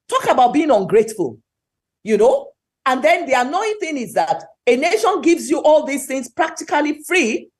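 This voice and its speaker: A middle-aged woman with a Nigerian accent speaks with fervor, her somewhat high voice swooping up and down. She presses her points hard and repeats words to hammer them home, like a speaker lecturing an audience. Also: a little mellow. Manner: emphatic, preachy, repeats words for stress. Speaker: webinar speaker. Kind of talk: impassioned talk.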